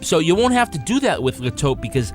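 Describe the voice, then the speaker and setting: A man's voice: a little high, very animated, a little fast, soft background music. A man co-hosting a podcast, talking in a casual, conversational and informal way. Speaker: podcast co-host. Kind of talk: casual panel review and host-read ad banter.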